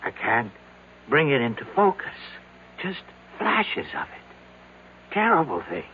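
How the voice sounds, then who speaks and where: An actor in an old-time radio drama playing a frightened, broken aide aged to seventy, halting and pleading in a frail voice. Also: male voice, mid-range voice, wildly swooping pitch, full character voice. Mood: dazed, haunted. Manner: halting, pleading, repeating himself. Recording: muffled, noisy old radio broadcast recording.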